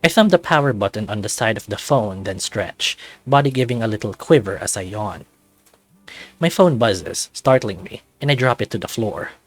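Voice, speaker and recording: A man, a channel host, narrating a visual novel in the first person with an expressive, animated storytelling delivery. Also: lowish voice, moderate pace, soft background music.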